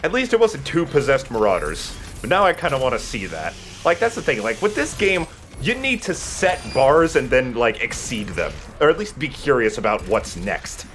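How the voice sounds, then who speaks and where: A man's voice: mid-range voice, big pitch swings, moderate pace, a bit bright, a little hiss or hum. A man giving casual gameplay commentary as the host who is playing. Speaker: let's play host, playing the game. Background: video game music.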